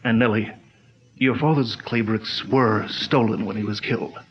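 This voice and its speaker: An actor playing a calm, commanding male crime-fighter chief in a 1940s-style radio drama, speaking in a measured, steady way. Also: lowish voice, slight background noise, natural voice. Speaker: lead actor. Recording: dull lo-fi old radio recording.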